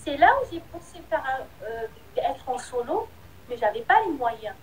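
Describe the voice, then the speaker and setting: A woman singer with a high voice, answering interview questions. She speaks candidly but hesitantly, and her sentences often trail off. A faint hum of ambience sits behind her. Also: expressive, normal speed, poor audio. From Republic of the Congo. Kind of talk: casual interview.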